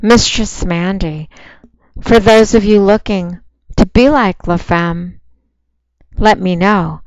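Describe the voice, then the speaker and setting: A woman with a soft voice giving a slow, unhurried, commanding promotional monologue as a phone sex operator. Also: mid-range voice, clear, resonant tone, dry recording, muffled recording.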